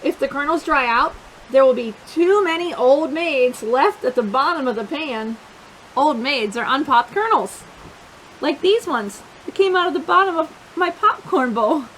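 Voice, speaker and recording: A high-pitched woman reads a children's book aloud with very lively, swooping intonation. She stresses and stretches key words and chats to young listeners in asides. A faint-to-clear hiss runs under the recording. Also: measured pace, rough recording. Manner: expressive, emphatic, drawing out key words. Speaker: children's storytime reader.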